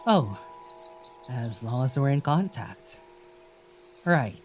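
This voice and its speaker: An actor playing a gentle, wary young traveler in a naturalistic audio drama, speaking in a hesitant, musing way. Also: medium pitch, expressive, slow, soft background music. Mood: wary, curious.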